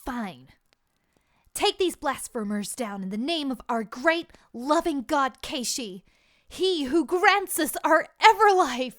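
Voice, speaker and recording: An actress playing a haughty, fanatical cult cleric in a fantasy audio drama, theatrical in delivery. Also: female voice, high-pitched, animated, takes it slowly, a bit bright, dry recording, studio quality, exaggerated voice. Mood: indignant, fervent.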